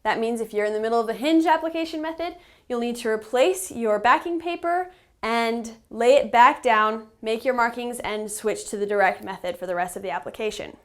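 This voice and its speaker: A woman's voice: on the high side, brisk. A woman narrating a step-by-step how-to tutorial as an instructor, patient and instructive, stressing the key tips. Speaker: instructor and company representative in how-to videos.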